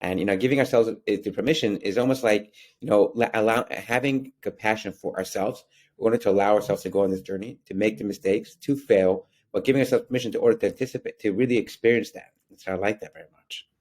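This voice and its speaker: Lower-voiced podcast host on a video call. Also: male voice, quick pace, darkish tone. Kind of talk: casual interview chat.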